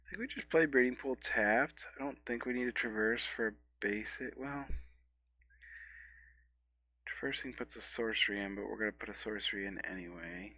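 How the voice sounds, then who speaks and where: A man's voice: fairly low pitch, moderate pace, pushed and bright, no echo. A man in his thirties with a scratchy, stuffy voice from a cold, casually commenting on his own card game as he plays, thinking aloud and correcting himself. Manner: rambling, thinking aloud with fillers and self-corrections. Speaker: gameplay streamer.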